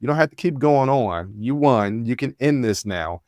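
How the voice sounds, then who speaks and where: A man's voice: mid-range voice, lively intonation, moderate pace, darkish tone, dry recording, studio quality. A man in his forties hosting a podcast, talking in a candid, rambling, laid-back way with plenty of fillers and restarts. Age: 40s. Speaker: podcast host. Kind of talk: casual solo monologue. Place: Washington, D.C.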